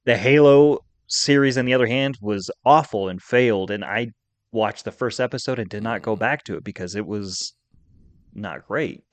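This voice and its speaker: A man's voice: on the low side, moderate pace, no room sound. A man hosting a podcast in casual chat, giving emphatic, opinionated takes with plenty of enthusiasm. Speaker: podcast host.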